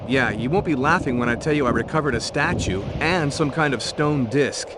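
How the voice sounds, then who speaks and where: An actor playing a wry, terse and determined investigator hero in a video game. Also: male voice, medium pitch, brisk, heavy background noise, close to an everyday voice.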